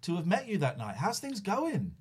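A man's voice: sits high, huge pitch swings, moderate pace, breathy edge. A man co-hosting a live show, joking and rambling through casual chat. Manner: jokey, teasing, rambling.

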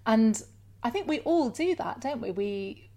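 A woman's voice: on the high side, expressive, measured pace. A woman hosting a podcast interview, affirming her guest and relating his ideas to her own life in a conversational way. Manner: warm, affirming, shares personal examples. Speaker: podcast host.

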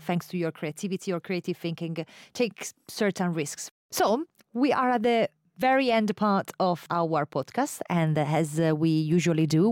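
A woman's voice: fairly low pitch, darkish tone, no room sound, clean studio sound. A woman hosting a podcast, asking questions in a conversational way and handing over to guests. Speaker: podcast host. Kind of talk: interview moderation.

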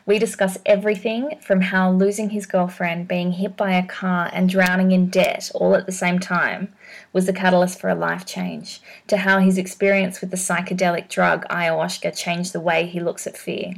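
A woman's voice: on the low side, little pitch movement, moderate pace, gravelly. A woman hosting a podcast, reading an enthusiastic scripted intro. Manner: upbeat, enthusiastic. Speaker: podcast host.

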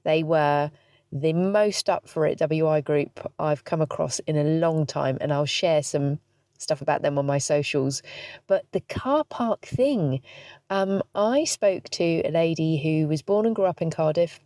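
Woman host, slightly low voice, a bit stuffy from hay fever, cheeky self-mocking chat, dry close recording. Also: measured pace, a little mellow, no echo. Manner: chatty, cheeky and self-deprecating, laughing often. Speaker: podcast host. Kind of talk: casual solo podcast chat. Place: parked car.

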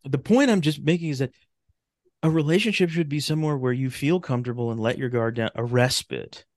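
A man in a casual podcast conversation, talking in a halting, rambling way and often restarting his phrases. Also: medium pitch, no room sound, studio quality.

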